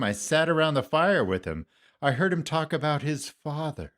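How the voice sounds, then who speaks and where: A man performing a dramatic monologue as a guilt-ridden disciple and fisherman, confiding and deliberate, with theatrical pauses. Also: mid-range voice. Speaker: congregation member performing a Lenten monologue.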